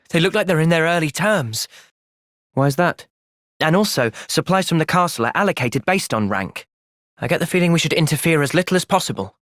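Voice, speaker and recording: Young male soldier, video game cutscene, earnest, thoughtful, gentle, expressive pitch, dry recording. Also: no echo.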